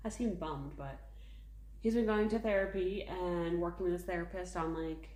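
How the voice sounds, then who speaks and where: A woman's voice: lowish voice, normal speed, darkish tone. Chatty woman in an echoey room. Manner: chatty, many "like" fillers, laughs often. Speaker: podcast co-host, mother. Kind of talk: casual chat.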